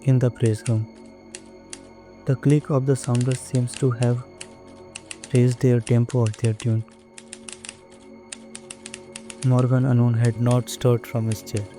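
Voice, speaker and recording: An actor playing an uneasy, watchful night manager in an audio drama, with measured, brooding delivery. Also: male voice, medium pitch, level tone, mellow, soft background music, natural voice. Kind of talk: audio drama narration and dialogue.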